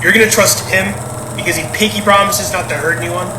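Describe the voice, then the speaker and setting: A man's voice: high voice, steady tone, quick pace, roomy sound, recorded from a distance, background noise, natural voice. A man acting in an audio play as a wary, exasperated young brother, blunt and argumentative. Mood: incredulous.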